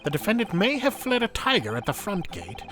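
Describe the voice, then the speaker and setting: A man voicing a pompous, scheming prosecutor in a video game fan dub, with theatrical, drawn-out delivery. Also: high-pitched, wildly swooping pitch, background noise. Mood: smugly menacing.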